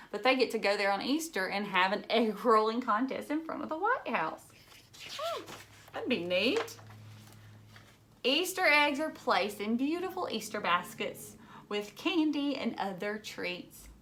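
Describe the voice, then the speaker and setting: A woman's voice: fairly high pitch, fairly bright tone. Woman reading a picture book to children. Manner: explains simply, addressing young listeners directly. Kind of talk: read-aloud storybook narration with chatty asides.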